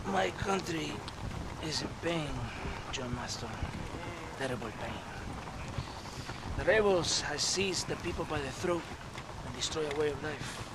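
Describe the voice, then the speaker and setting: An actor playing a theatrical Mexican army captain in a video game, taunting and dramatic, with a Mexican accent. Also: male voice, fairly high pitch, a little slow, pauses often, heavy background noise. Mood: mournful. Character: theatrical Mexican army captain, menacing, mocking, then jovial. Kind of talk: video game cutscene acting.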